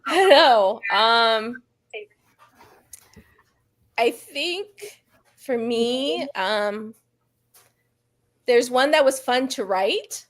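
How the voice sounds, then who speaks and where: A woman's voice: a little high, animated, extremely slow, pauses often. A woman author speaking as a guest in a casual book chat over a video call, candid and self-deprecating. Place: live online video call.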